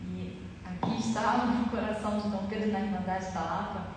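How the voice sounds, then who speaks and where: A woman's voice: mid-range voice, steady tone, normal speed. A woman with a Brazilian accent speaking publicly as an author at a book launch, answering questions and presenting her work. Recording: very echoey hall, distant mic, faint noise.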